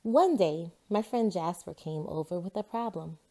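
A woman hosting a children's read-aloud, telling things in an expressive, deliberate storyteller's way. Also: fairly low pitch.

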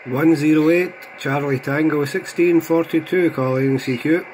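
A man's voice: fairly high pitch, fairly even intonation, normal speed. A man with a Scottish accent making CB radio calls with callsigns. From Scotland. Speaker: CB radio operator. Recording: noisy CB radio hobby recording, loud static.

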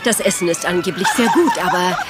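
A woman's voice: on the low side, lively intonation, a little fast, loud music behind the voice, lightly acted. An actress playing a polite, protective lady's companion in a crime drama, with a prim manner. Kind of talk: dubbed crime drama acting.

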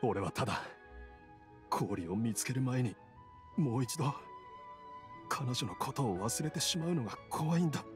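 An actor playing an earnest, desperate young village man in a video game, speaking plainly and roughly with halting intensity. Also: medium pitch, animated, lightly acted. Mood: resolute, sorrowful. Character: earnest, desperate young village husband, sickly but determined. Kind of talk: Japanese video game voice acting. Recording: studio voice over game music. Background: background music.

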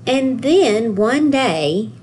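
A woman's voice: fairly high pitch, a little hiss or hum, rough recording. A woman working as a school guidance counselor, expressively reading a picture book aloud to young children. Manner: expressive, pausing to ask the children questions.

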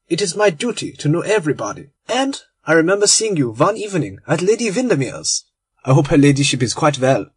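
A man's voice: on the high side, slightly rough, dry recording. A man reading aloud, voicing a genial, proud little German bomb-maker in a storybook. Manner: cheerful, effusive, courteous.

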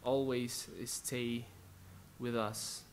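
A young man in his twenties from Colombia, Spanish-speaking by birth, with a Colombian Spanish accent, introducing worship songs at home in a soft-spoken, halting way. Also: medium pitch, little pitch movement, slight background noise. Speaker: worship musician. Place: living room at home, indoors. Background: faint acoustic guitar.

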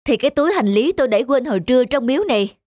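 A woman's voice: high-pitched, lively intonation, very fast, a little mellow, dry recording, studio quality. A woman voicing an earnest, effusive middle-aged male merchant in a folktale cartoon, distraught and grateful. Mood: anxious. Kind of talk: Vietnamese folktale cartoon acting.

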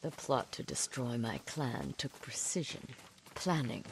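A woman's voice: rumbling low, sing-song, measured pace, slight background noise, heavily acted. An actress playing an older samurai widow in a video game, grave, clipped and resolute in her grief and vengefulness. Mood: cold resolve. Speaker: game character. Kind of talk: dramatic video game acting. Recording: music behind the voice.

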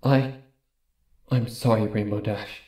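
A man voicing a regal, sorrowful female pony ruler in a halting, grave manner for a story. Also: on the low side, steady tone, mellow, echoey room, pitched below the performer's own voice. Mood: sorrowful, apologetic. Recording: music behind the voice.

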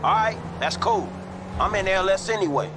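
An actor playing a laid-back young street hustler in a video game, terse and slangy. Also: male voice, sits high, moderate pace, close to an everyday voice. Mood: casual. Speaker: game character. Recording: game audio with clear engine noise. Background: vehicle noise.

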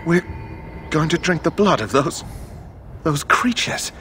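An actor playing an anxious, complaining knight recruit in a fantasy game. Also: male voice, on the high side, very animated, slow pace, over music. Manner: fretful, protesting.

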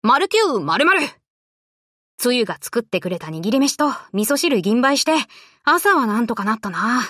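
A woman voicing a brash, tomboyish young warship girl in an anime game, talking in rough, cocky, casual boyish slang. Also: fairly high pitch, very animated, unhurried, bright, forceful tone, no room sound, studio quality, exaggerated voice. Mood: breezy, casual. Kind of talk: Japanese anime game voice lines.

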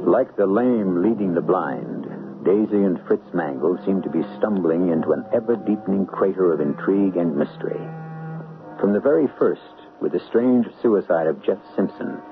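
Male radio-drama host, portentous scripted narration, deep and expressive, muffled vintage broadcast. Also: gravelly, over music. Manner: measured, portentous. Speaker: host of a radio mystery series.

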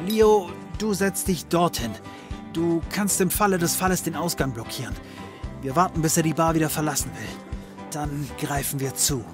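An actor playing a wry, world-weary occult detective, dry and sardonic, in a comedy audio drama. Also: male voice, on the high side, moderate pace, music in the background, close to an everyday voice. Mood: decisive.

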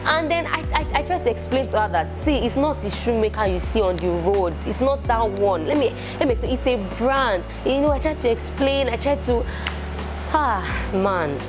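A young Nigerian woman, a shoemaker, chatting in an interview in a shoe showroom and telling her story with animated, repeating phrases. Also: on the high side, moderate pace, over music. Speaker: shoemaker and entrepreneur. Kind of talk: casual interview chat.

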